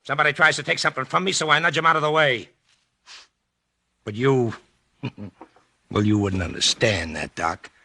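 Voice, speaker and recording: A brash, remorseless convict from a 1940s radio crime drama. The voice is gravelly and hard-edged, with rough, slangy, cocky delivery and a husky grain, recorded close and dry. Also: male voice, medium pitch, moderate pace, long pauses, bright, forceful tone, no echo, full character voice. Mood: cocky, callous. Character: brash, uneducated male escaped convict and remorseless killer.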